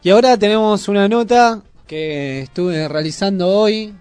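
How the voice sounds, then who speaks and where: A man's voice: high voice, moderate pace, a little mellow, smooth, no room sound, soft background music. A man with a Buenos Aires Argentine accent, presenting on radio in a casual, chatty style. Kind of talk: casual chat.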